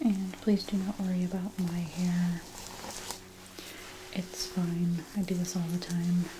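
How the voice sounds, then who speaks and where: A woman doing a whispered ASMR role play as an examiner, soft-spoken and reassuring, talking through each step. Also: lowish voice, flat delivery, unhurried, background noise. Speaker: ASMR creator role-playing a lice check examiner.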